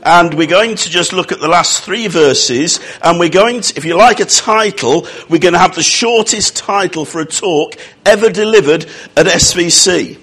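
A man preaching a sermon, croaky from a cold, with jokey, self-mocking asides. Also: sits high, moderate pace, a bit bright.

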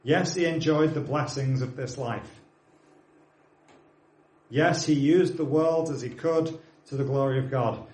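A man's voice: mid-range voice, unhurried, long pauses, darkish tone, roomy sound, rough recording. A man of forty with a British accent, preaching a sermon, earnestly urging his congregation and dropping in plain, friendly asides. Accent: British English. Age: 40s.

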